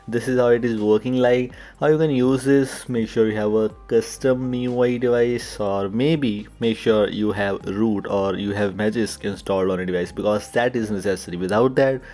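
A young man with a dark, mellow, fairly low voice. He talks through a tech tutorial casually, going step by step and often repeating himself. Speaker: tech YouTuber and host.

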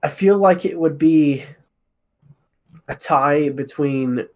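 A man's voice: fairly high pitch, slow, a little mellow. A man chatting casually as a composer answering questions on a panel.

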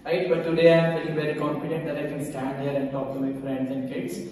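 A man's voice: a little high, monotone, quick pace, darkish tone. A young man with a Punjabi accent, a native Punjabi speaker, earnestly telling students a personal story into a handheld mic, often asking "right?". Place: large school hall, indoors. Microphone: handheld mic, far camera. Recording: echoey hall recorded from far off.

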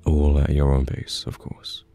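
A man playing a caring, patient boyfriend in an ASMR roleplay, speaking gently and haltingly with reassurance, close to the mic. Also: very deep voice, monotone, gravelly, full character voice. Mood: calm, reassuring. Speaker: voice actor in an audio roleplay. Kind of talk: soft-spoken ASMR boyfriend roleplay, pillow talk. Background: soft piano or guitar music.

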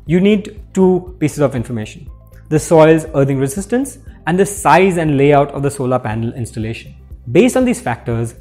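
A man in his thirties presenting a scripted technical explainer in a workshop, speaking with steady, clear delivery. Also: on the high side. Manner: clear, steady teaching delivery with hand gestures. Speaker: presenter for an electrical equipment maker. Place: indoor workshop with electrical panels.

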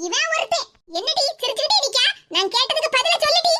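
A woman playing a quick-tempered older mother-in-law who scolds sharply. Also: very high voice, lively intonation, noisy background. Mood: scolding. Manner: sharp, scolding, fast-talking.

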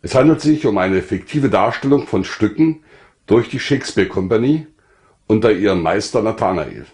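Older guy, author reading out a prepared talk, lowish voice, really varied intonation. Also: animated, normal speed, darkish tone. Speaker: author presenting his work. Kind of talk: scripted self-presentation, reading.

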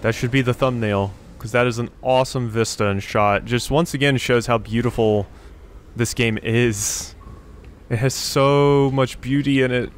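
Rambling male gamer, medium pitch. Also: fairly even intonation, moderate pace. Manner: rambling, repeats phrases, thinking aloud. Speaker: gaming YouTuber, let's player. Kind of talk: casual video game commentary. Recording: faint game ambience noise. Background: game ambience.

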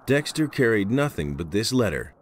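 Low-voiced, blunt adventurer hero. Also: male voice, very animated, normal speed, a little hiss or hum. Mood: matter-of-fact. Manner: terse, matter-of-fact. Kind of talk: video game dialogue.

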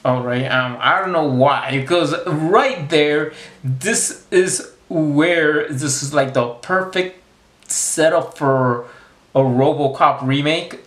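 A man's voice: on the high side, takes it slowly, fairly bright tone, reverberant. A man in his thirties rambling to camera in a casual monologue, often repeating words and restarting his sentences. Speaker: vlogger. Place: small room indoors against a plain wall.

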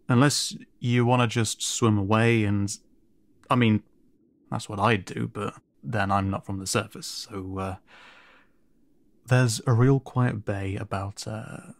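A kind, awkward siren merman, acted by a man in an intimate audio roleplay. He takes his time, stammering and hesitating as he gently guides the listener. His mid-pitched voice sounds close and dry. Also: vocal fry, no room sound. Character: kind, awkward male siren merfolk guiding a newcomer.

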